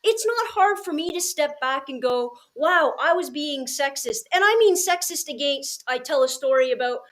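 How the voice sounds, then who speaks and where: A woman's voice: sits high, lively intonation, normal speed. A woman hosting a podcast, speaking casually and reflectively in an earnest, emphatic way. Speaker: podcast host, educator.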